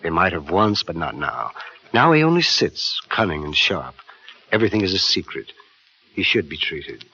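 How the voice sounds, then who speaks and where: A calm, husky-voiced doctor. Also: male voice, low-pitched, exaggerated voice. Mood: grave. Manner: measured and insistent. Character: calm, clinical male doctor. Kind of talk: 1940s radio acting. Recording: muffled old radio broadcast.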